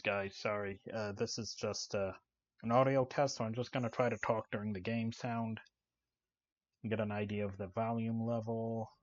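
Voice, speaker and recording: Soft-spoken man, low voice, muffled mic. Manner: relaxed, many "uh" fillers, explains the game while playing. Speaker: gaming channel host. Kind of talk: casual gameplay commentary. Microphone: desk microphone.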